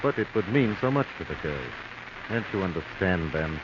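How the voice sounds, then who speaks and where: An actor playing a scheming, pushy middle-aged American swindler in a 1940s radio drama. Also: male voice, deep voice, expressive, normal speed. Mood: smooth persuasion. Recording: hissy, muffled vintage radio recording.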